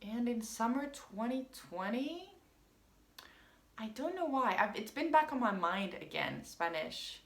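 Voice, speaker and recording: A woman's voice: a little high, sing-song, unhurried, gravelly, a bit bright. A woman whose first language is French, telling a casual personal story in a rambling, chatty way with frequent ums. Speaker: vlogger. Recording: roomy echo.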